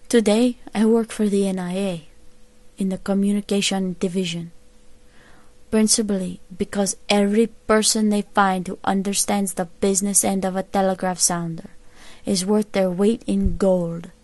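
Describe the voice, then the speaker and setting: An actress playing a wistful, hopeful young telegraph operator in a scripted audio drama, telling her account in a reflective, measured way. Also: mid-range voice, fairly even intonation, a little mellow, no room sound, natural voice. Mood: wry, proud. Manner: slow, reflective, measured storytelling. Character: wistful, hopeful young woman telegraph operator of post-plague 1880s America.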